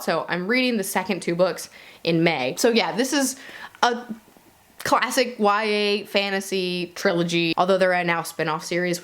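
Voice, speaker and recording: Female video reviewer, mid-pitched, informal and conversational, chatting straight to her audience in a casual wrap-up. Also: a bit bright. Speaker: book reviewer and video host. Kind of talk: casual monthly reading wrap-up to viewers.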